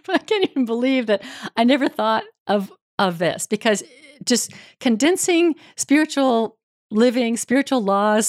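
A woman's voice: medium pitch, no echo, studio quality. A woman hosting a spiritual podcast, introducing and questioning her guest enthusiastically. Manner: enthusiastic, warm, effusive. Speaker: podcast host, reverend. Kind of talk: podcast interview.

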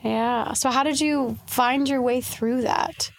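A woman with a high voice co-hosts a casual interview podcast. She introduces her guest and asks questions with upbeat enthusiasm. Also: fairly even intonation, slow pace, creaky. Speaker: podcast co-host.

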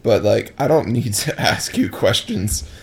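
Man, late twenties, fairly low voice, creaky fry, easygoing informal interviewer, casual chat. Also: sing-song, measured pace. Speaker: interviewer, host.

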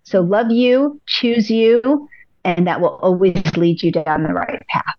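A woman, a pilates instructor, giving upbeat, encouraging advice as a podcast guest, a little hoarse from losing her voice. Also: mid-range voice, a little slow, dry recording, lo-fi sound. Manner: upbeat, encouraging, speaks in repeated affirmations. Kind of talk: casual interview advice.

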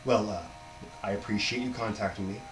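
A male actor playing a stern police interrogator who speaks to a suspect in measured, admonishing tones in a naturalistic audio drama. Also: lowish voice, fairly even intonation, music in the background, natural voice. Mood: grave, admonishing. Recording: echoey room, faint hum.

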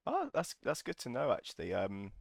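A man hosting a casual interview, relaxed and jokey, with plenty of ums and restarts. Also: mid-range voice, normal speed, gravelly, dry recording, studio quality. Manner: relaxed, jokey, many fillers. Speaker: podcast host.

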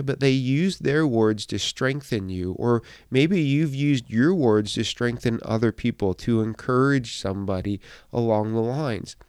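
A man who pastors and hosts a podcast, speaking with a slight speech impediment, pausing often and repeating words as he talks through a devotional thought. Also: medium pitch, darkish tone, clean tone, dry recording, clean studio sound. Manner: halting, many fillers and repeated words. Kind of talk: reflective devotional podcast talk.

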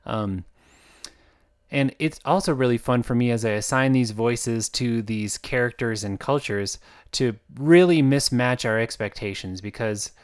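A man's voice: medium pitch, measured pace. Chatty American man hosting a podcast. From United States. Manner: chatty and reflective, with fillers and soft laughs. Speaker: author and podcast host. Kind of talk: casual podcast monologue.

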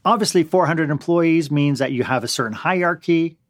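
A man's voice: on the high side, a little fast, no echo, clean studio sound. A man hosting an interview, asking questions and reacting with enthusiasm. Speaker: interview host. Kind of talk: conversational interview.

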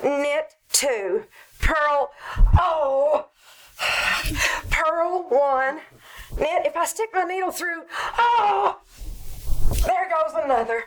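High-pitched scheming radio-comedy housewife played by an actress. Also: female voice, expressive, very slow, pauses often, full character voice, rough recording. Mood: exasperated. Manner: coaxing, exaggerated, theatrical. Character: scheming, nosy, playful 1940s housewife wife. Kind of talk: old-time radio comedy acting on stage.

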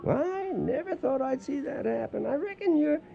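An actor playing a folksy, excitable cowboy in a radio western, with a crackly voice and an American Western drawl. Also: male voice, very high-pitched, moderate pace, vocal fry, highs cut off, exaggerated voice. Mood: eager. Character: folksy, excitable cowboy spinning trail yarns. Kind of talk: radio western acting and narration.

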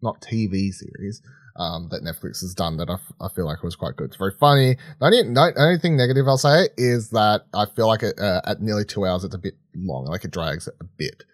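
A man's voice: on the low side, a little fast, no room sound, clean studio sound. A man co-hosting a podcast, giving casual, unscripted film opinions in a rambling, conversational way with some swearing. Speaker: podcast co-host reviewing films and series.

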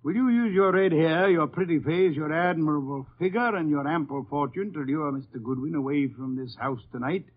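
An actor playing an imperious, brilliant gentleman detective in a 1950s radio drama, speaking formally and emphatically. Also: fairly high pitch, a little fast, hardly any pauses, natural voice. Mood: dry, courtly. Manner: pompous, formal, emphatic deductive speeches. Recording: muffled old radio broadcast.

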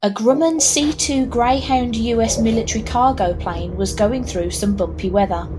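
A woman playing a reluctant hired narrator of an audio horror tale, with a deadpan, wry delivery. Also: a little high, normal speed, music in the background, close to an everyday voice, low-quality recording. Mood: dry, sardonic. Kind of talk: scripted narration with deadpan asides. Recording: clear background noise and ambience.

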